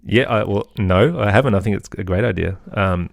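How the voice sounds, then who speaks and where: A man with an Australian accent, talking as an interview guest in an agreeable, conversational way with softened phrasing. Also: lowish voice, fairly quick, gravelly, dry recording, clean studio sound. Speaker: podcast guest, musician and composer.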